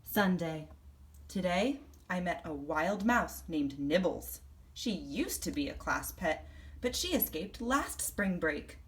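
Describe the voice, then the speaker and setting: Animated woman reading a children's story. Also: on the low side. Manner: animated, deliberate, acting out the story. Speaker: storybook reader. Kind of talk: expressive children's read-aloud, first-person story narration.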